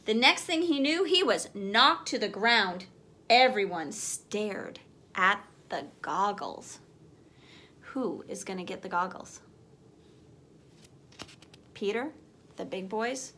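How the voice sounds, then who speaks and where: A woman's voice: mid-range voice, expressive, takes it slowly, pauses often, bright, forceful tone, a little hiss or hum. A woman teacher reading a children's picture book aloud, expressively, as if putting questions to young listeners.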